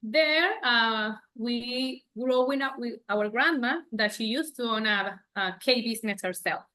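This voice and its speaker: A woman's voice: on the high side, measured pace, pushed and bright. A woman from Venezuela with a Venezuelan accent, a native Spanish speaker, telling her personal story casually as an interview guest. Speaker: guest, bakery owner.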